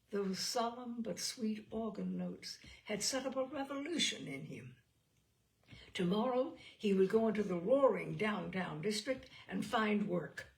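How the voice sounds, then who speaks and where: Gravelly-voiced woman reading a story aloud. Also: a little high, rough recording. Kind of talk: expressive literary narration.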